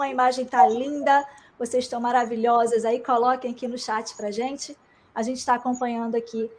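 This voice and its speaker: A woman with a Brazilian accent hosting a casual live online chat, effusive and informal as she addresses people. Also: fairly high pitch. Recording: online stream with faint noise.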